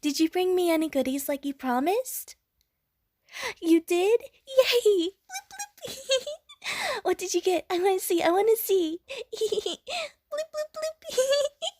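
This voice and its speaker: Woman voicing a high-pitched, bubbly water slime girl. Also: big pitch swings, slow pace, a little mellow, no echo, studio quality, exaggerated voice. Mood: excited. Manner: childlike, playful, gushing, punctuated with little bloop noises. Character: bubbly, innocent young female water slime creature. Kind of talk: audio roleplay addressed to the listener.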